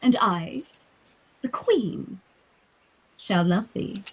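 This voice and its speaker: An actress playing an ambitious royal sister tempted into murderous conspiracy, in a theatrical, deliberate Shakespearean radio play style. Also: female voice, medium pitch, animated, vocal fry, husky, heavily acted, low-quality recording. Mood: resolved.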